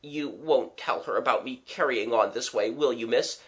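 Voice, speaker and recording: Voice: medium pitch, normal speed, a bit bright, lightly acted. A reader voicing a flustered working-class young man, gasping, agitated and apologetic, in a storybook. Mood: anxious, apologetic.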